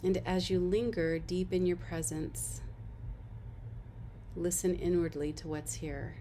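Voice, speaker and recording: A woman leading a guided body-scan meditation as an instructor, giving gentle, unhurried instructions and soft invitations. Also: on the low side, steady tone, a little hiss or hum.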